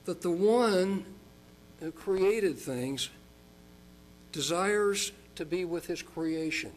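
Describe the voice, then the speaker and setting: An older man from Oklahoma preaching a sermon as a pastor, folksy and chatty, slowing down for emphasis. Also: a little hiss or hum, poor audio. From Oklahoma, United States. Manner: folksy and chatty, with chuckles and fillers; slows and rises in pitch for emphasis.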